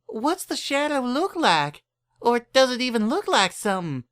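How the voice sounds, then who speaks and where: Very high, timid little filly. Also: female voice, big pitch swings, normal speed, a bit bright. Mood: curious. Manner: trembling, stammering. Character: timid little yellow filly pony, easily frightened.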